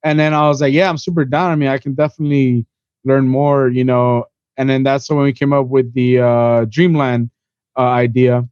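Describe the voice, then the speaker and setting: A man's voice: mid-range voice, flat delivery, moderate pace, a little mellow, clear, resonant tone, no echo, lo-fi sound. A man in his twenties from Miami, a podcast guest rambling through his story in casual chat, full of "you know" and "bro". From Miami, USA. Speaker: podcast guest, event organizer.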